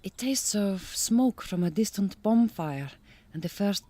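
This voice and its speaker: Radio drama: young Hungarian woman, farm worker, courteous, self-possessed, romantic streak, mid-pitched, Hungarian-accented English. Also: expressive, a little slow, warm tone, no room sound. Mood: dreamy, confiding. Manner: careful, formal phrasing. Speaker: actress in an audio drama.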